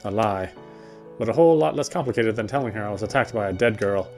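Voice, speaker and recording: A man's voice: on the low side, expressive, fast talker, darkish tone. A man narrating a visual novel aloud in a dramatic storytelling style as he plays. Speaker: gamer reading the game text aloud. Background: faint game music.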